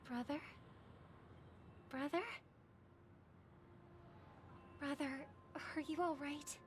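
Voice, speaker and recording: An actress playing a gentle, caring, earnest young woman in a video game, speaking politely. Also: high voice, steady tone, slow pace, a little hiss or hum. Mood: concerned. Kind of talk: dramatic video game voice acting.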